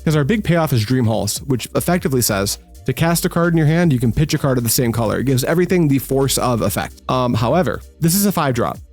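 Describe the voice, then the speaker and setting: Young male streamer, mid-range voice, casual and self-mocking, quick pitch jumps when excited, close mic, faint music underneath. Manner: energetic, self-deprecating, swings to high excited yelps. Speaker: gaming channel host. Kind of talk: casual gameplay commentary.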